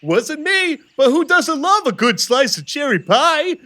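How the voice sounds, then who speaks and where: Man playing a very high-pitched goofy deputy. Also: sing-song, a little slow, sharp tone, exaggerated voice. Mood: cheerful. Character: Doyle, pie-loving goofy police deputy. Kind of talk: comic audio drama acting.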